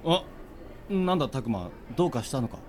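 A man playing a sardonic yet nervous young man, quick with retorts, in an amateur radio drama. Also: medium pitch, sing-song, slight background noise, natural voice. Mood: wry.

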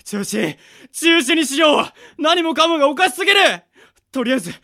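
A man's voice: very high-pitched, expressive, sharp tone, heavily acted. A man playing a panicky young man who protests and exclaims, in a comedy radio drama. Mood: panicked protest. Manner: exclaiming, quick to object. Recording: background music under the voice.

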